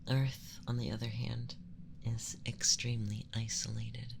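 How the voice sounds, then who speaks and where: An ASMR performer voicing a calm, condescending young male alien abductor in a breathy, often whispered, gentle and formal monologue. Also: medium pitch, a little slow, background noise. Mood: solemn, explanatory. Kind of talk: ASMR roleplay, scripted monologue.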